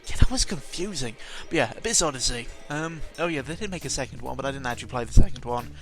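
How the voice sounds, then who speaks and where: A man's voice: medium pitch, expressive, quick pace. A man giving a casual, jokey audio log about video games, rambling and interrupting himself with exaggerated emphasis. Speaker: video game vlogger. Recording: music playing behind the voice. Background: clear background game music.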